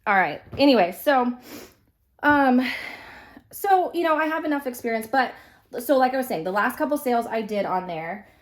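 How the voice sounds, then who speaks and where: A woman's voice: on the high side, lively intonation, moderate pace. Young woman chatting away at home, lots of ums, kinda stuffy voice from a cold. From California. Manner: rambling, many fillers and restarts. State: slightly sick, stuffy voice. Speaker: vlogger, reborn doll collector. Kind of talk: casual chat while dressing a doll.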